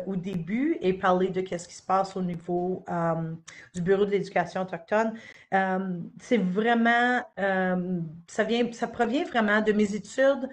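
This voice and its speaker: A middle-aged woman from Northern Ontario, giving an unscripted live presentation as an education officer, with frequent fillers and restarts. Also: medium pitch. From Northern Ontario, Canada. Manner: hesitant, many "euh" fillers and restarts. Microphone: webcam or computer mic on a live stream.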